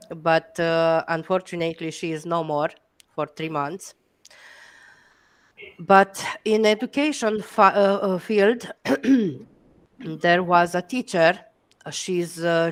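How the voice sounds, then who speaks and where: A woman's voice: on the low side, clear, resonant tone. A middle-aged woman from Romania with a Romanian accent, speaking English as a second language on a video call, hesitant with many fillers and encouraging. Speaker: guest educator. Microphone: headset.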